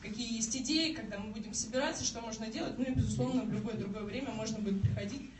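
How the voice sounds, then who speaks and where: A woman's voice: on the high side, quick pace. A woman in her twenties, speaking as a campaign office coordinator to a public meeting, earnest and hesitant with restarts. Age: 20s. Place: crowded meeting room indoors. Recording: echoey room, mic far off, noisy.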